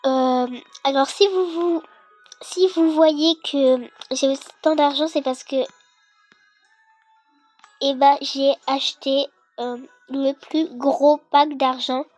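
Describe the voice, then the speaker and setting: A woman's voice: sits high, fairly even intonation, slow pace, darkish tone, faint music behind. A young girl with a congested, sick voice, casually explaining a video game in a hesitant, rambling way. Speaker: gaming video creator. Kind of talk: casual game tutorial commentary.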